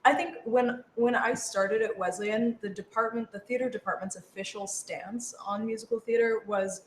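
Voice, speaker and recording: A woman actor chatting casually in a group, playful and halting, often interrupting herself. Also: on the high side, fairly even intonation. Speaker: radio drama actor. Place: indoor room with other people. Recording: echoey room, noticeable background noise.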